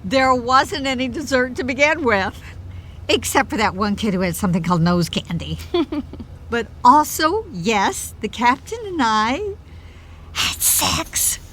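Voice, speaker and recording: A woman's voice: on the high side, unhurried, a little hiss or hum. A woman playing a middle-aged mom on a cruise, playful and wry, in an audio drama comedy. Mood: smug, joking. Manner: wry, expressive delivery.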